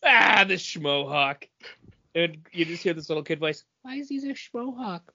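A man's voice: a little high, lively intonation, normal speed, vocal fry, bright, forceful tone, lo-fi sound. A man co-hosting a podcast, telling everyday anecdotes in a casual, humorous way. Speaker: podcast co-host.

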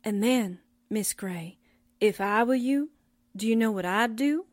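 A woman's voice: on the high side, measured pace, no echo, lightly acted. A woman acting a slangy, playful newspaperwoman sidekick in 1940s radio drama style. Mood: playful, comic. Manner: folksy, playful, stumbles over big words.

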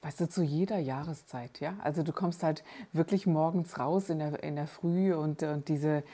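A woman's voice: low voice, normal speed, mellow. A woman in her fifties from the Hunsrück with a Rhineland-Palatinate accent, hosting a conversational podcast interview and often asking for agreement. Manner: chatty, asks for agreement with tag questions. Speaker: podcast host.

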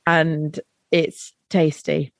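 Young woman, croaky and tired, lower voice, casual podcast co-host, dry close sound. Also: little pitch movement, very slow, no room sound. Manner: laughing, casual, lots of "like" and "um". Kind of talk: casual chat.

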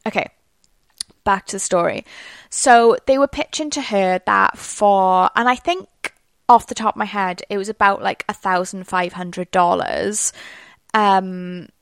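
A woman's voice: medium pitch, a little slow, vocal fry, clean tone, no room sound. A young Welsh woman hosting a solo podcast, chatting in a rambling way full of fillers. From Wales. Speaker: podcast host. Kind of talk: casual solo podcast monologue.

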